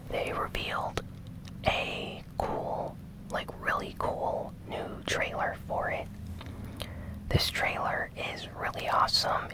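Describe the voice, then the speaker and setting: An ASMR gaming news host who speaks entirely in a whisper. The delivery is slow and soft, an unhurried news recap given close to the microphone. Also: low-quality recording.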